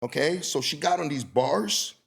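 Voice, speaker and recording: A middle-aged man with a gravelly, slightly husky voice hosts a podcast. He rambles through stories in a loose, casual way and keeps checking in with "you know what I mean.". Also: on the high side, expressive, normal speed, a bit bright. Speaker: podcast host. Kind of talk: casual conversational interview.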